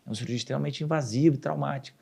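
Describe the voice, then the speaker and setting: Fast-talking gravelly man. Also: medium pitch. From southern Brazil. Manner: animated storyteller, acts out quoted dialogue. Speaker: urologist guest. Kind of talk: casual podcast interview.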